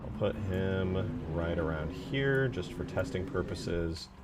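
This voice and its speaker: A man's voice: low-pitched, animated, slow pace, heavy background noise. A man streaming casually as he builds a game mod, thinking aloud and answering chat in informal, slangy talk. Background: music behind the voice.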